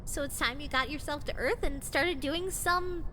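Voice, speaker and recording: A woman playing a bubbly, bossy, fashion-loving android best friend in an audio drama, excitable and gushing. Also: sits high, moderate pace, a little hiss or hum. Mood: upbeat coaxing.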